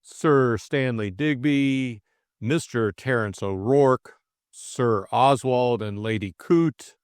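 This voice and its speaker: Audiobook villain, stern Russian chairman of a conspiracy, commanding, deliberate, acted by a man, dry sound. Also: medium pitch, smooth, no echo, close to an everyday voice. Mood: sharp, suspicious.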